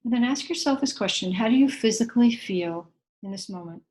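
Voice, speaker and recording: A woman leading a guided meditation in a gentle, unhurried way, leaving long pauses between short instructions. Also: fairly high pitch, lively intonation.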